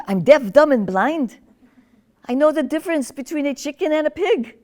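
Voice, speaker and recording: A woman's voice: fairly high pitch, darkish tone. Lady doing an old granny in a nursing home, teasing, chatty, voice goes up and down a lot. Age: older. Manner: gossipy, teasing, drifting into confusion. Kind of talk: one-woman stage monologue.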